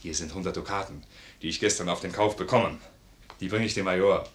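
An actor playing a boisterous, generous, war-loving sergeant in a 1950s German radio play, hearty and exclamatory. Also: male voice, sits low, steady tone, fast talker, lightly acted. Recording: roomy 1950s radio studio recording.

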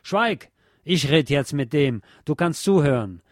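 A man's voice: on the high side, expressive, unhurried, no room sound, clean studio sound. A man voicing a furious, scornful soldier in a story reading, hissing, mocking and bullying as he speaks.

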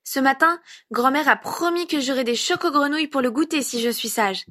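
A woman's voice: sits high, fairly quick, bright, forceful tone, pitched above the performer's own voice. A woman voicing an eager, polite little boy who is curious and easily delighted, in a read-aloud story.

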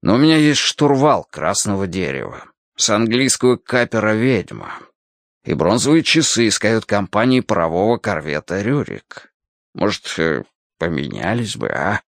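A man's voice: fairly low pitch, very animated, normal speed, no room sound, studio quality. A man voicing a bashful older sailor, hesitant and sighing, in a storybook audiobook. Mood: hesitant pleading. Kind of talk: acted audiobook dialogue.

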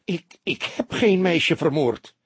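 Audiobook suspect: small corpulent fellow, played by a male reader, high strained pitch, puffing, indignant, stammering, easily rattled. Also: lively intonation, slow, husky, no room sound, full character voice. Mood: stunned, stammering. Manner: blustering, easily thrown off. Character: small fat indignant flustered man, a murder suspect. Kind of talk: audiobook character voice.

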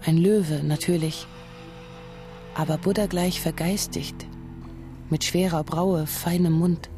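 Woman, warm dark tone, descriptive scripted narration, measured, radio play, faint music. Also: lowish voice, fairly even intonation.

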